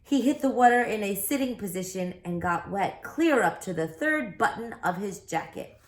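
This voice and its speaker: A woman reading a children's book aloud as an expressive storyteller, recorded in an echoey room. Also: medium pitch, normal speed.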